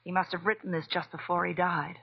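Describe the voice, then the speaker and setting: Earnest detective's client, muffled old radio. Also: female voice, on the low side, little pitch movement, fairly quick, vocal fry, husky. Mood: uneasy. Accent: American. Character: earnest, pleading young woman client, protective of her sister. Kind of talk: 1940s radio acting.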